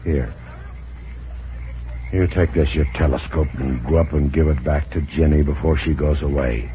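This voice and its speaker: An actor playing a gruff, blustering but soft-hearted fishing boat skipper, with rough, folksy speech in 1940s radio style. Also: male voice, very deep voice, normal speed. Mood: gruff, grumbling. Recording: muffled, hissy 1940s radio recording.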